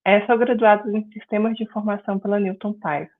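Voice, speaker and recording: A middle-aged woman with a Mineiro Brazilian accent gives a friendly, explanatory lesson, dotting her sentences with "né" and "é". Her voice sits at an ordinary pitch for a woman. It comes through a narrow, tinny online-call line that sounds like a phone. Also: steady tone, fast. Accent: Mineiro Brazilian Portuguese. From Minas Gerais, Brazil. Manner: friendly, explanatory, frequent "né" and "é" fillers. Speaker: guest instructor. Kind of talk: online class lecture.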